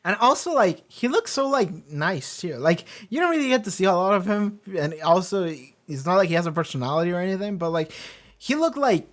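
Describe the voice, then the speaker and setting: Man rambling casually, higher voice. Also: very animated, a little fast. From Argentina. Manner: loose, swearing, many fillers and restarts. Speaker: reaction video host.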